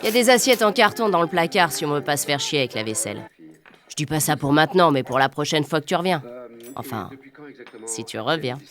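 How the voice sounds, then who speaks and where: A brash middle-aged mum in an audio drama, with a lowish voice that swings widely. She talks bluntly and familiarly, with faint music behind her. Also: lively intonation, fairly quick, fairly bright tone. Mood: casual, teasing. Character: brash, plain-spoken working-class mother of a teenager. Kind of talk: naturalistic audio drama acting.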